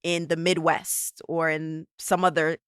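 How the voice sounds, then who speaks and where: Low-voiced woman podcast host. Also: little pitch movement, measured pace, clear, resonant tone, dry recording, studio quality. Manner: chatty, thinking aloud with fillers. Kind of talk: podcast interview.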